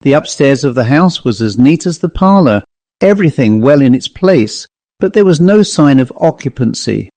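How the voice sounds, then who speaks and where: A man's voice: medium pitch, expressive, normal speed, warm tone, dry recording. A man reading a classic ghost story aloud as an audiobook narrator, in a scripted literary style.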